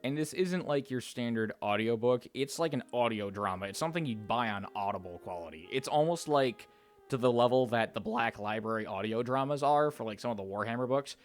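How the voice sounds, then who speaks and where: A man with an average-pitched voice presents fan lore commentary over background music. His delivery is informal and opinionated, leaning on emphatic, slangy wording as he addresses his viewers. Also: animated, a little fast. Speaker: video essayist, channel host.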